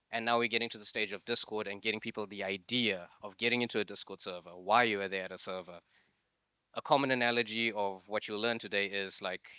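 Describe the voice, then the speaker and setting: A young man from Zimbabwe speaks to a live audience in a loose, chatty way, filling pauses with "um" and "like". His voice sits low but sounds bright and crisp. The recording is dry and close. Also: no room sound. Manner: loose, conversational, lots of "like" and "um". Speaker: masterclass speaker.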